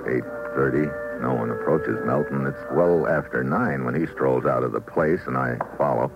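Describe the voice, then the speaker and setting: An actor playing a cool, wry investigator who narrates his case in terse, deadpan slang, in an old-time radio noir drama. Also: rumbling low, normal speed, over music. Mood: cool narrating. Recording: muffled, noisy vintage radio recording.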